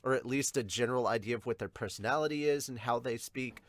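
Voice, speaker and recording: A man's voice: medium pitch, quick pace, dry recording. A man hosting a casual reaction podcast, explaining things emphatically and swearing casually. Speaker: podcast host. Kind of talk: casual chat, manga reaction.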